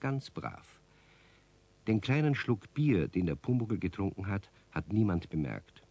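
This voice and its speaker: A man's voice: on the low side, very animated, a little slow, mellow, husky, full character voice. A man performing as a friendly storyteller, narrating a scripted children's radio play. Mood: explaining.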